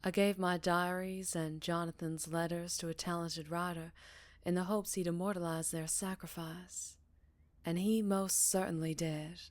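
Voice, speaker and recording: A woman playing a centuries-old vampire leader, speaking softly and breathily in an intimate ASMR roleplay. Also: fairly low pitch, level tone, no room sound, lightly acted. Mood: wistful. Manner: soft, breathy, measured, with whispered phrases. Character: centuries-old female vampire town leader, secretive, wistful, tender.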